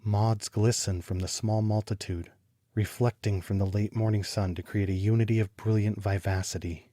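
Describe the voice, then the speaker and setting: An actor playing a troubled homicide detective, narrating his case in a deliberate, brooding, literary style for an audio drama. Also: on the low side, flat delivery, mellow, no echo, clean studio sound, close to an everyday voice. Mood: bitter, weary. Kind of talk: scripted first-person noir audio drama narration.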